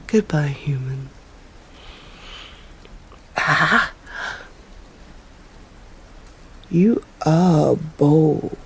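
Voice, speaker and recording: A woman with an English accent voicing a stern, proud female vampire in an ASMR roleplay, speaking formally and deliberately and often slipping into whispers. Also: low voice, vocal fry, a little hiss or hum, full character voice, poor audio. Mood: intrigued, menacing, then cold, commanding. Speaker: voice actor. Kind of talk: ASMR roleplay, scripted dramatic monologue.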